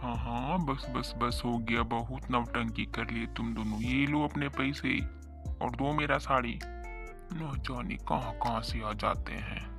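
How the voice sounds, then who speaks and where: A man playing a gruff, grumbling village sari shopkeeper in an animated story, with a blunt, haggling manner. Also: medium pitch, measured pace, over music, lightly acted. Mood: annoyed. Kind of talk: animated moral story acting.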